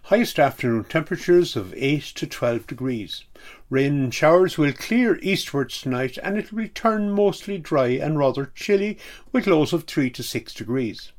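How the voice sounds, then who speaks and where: A man's voice: fairly high pitch, animated, normal speed. A man reading a scripted weather forecast on community radio.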